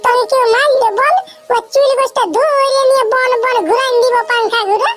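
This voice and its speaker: An actor voicing a blunt, teasing rustic mother in a comic cartoon, with a Purulia Bengali accent and an earthy, cajoling way of talking in a pitched-up voice. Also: steady tone, fairly quick, a bit bright. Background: music.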